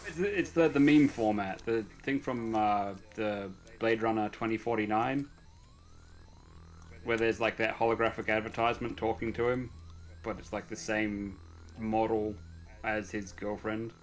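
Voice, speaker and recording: Low-voiced man rambling over game music. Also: fairly even intonation, a little slow. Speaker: let's play co-commentator. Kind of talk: casual game commentary.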